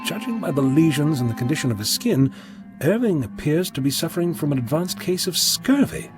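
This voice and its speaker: A man's voice: a little high, very animated, fairly quick, over music. A man playing a flippant, articulate, unflappable eccentric time traveller in an audio drama. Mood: brisk, clinical.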